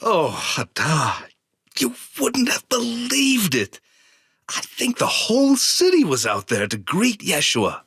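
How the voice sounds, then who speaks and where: A woman acting an amazed townsperson in a biblical audio drama. The voice is very animated and slow, slightly husky, recorded dry. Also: medium pitch, no room sound, heavily acted. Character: excited biblical townsperson marvelling at a great welcome.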